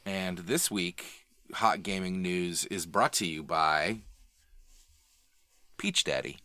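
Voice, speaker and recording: A man's voice: low voice, slow pace, bright, forceful tone, dry recording. A man co-hosting a podcast in casual, bantering conversation. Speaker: podcast co-host.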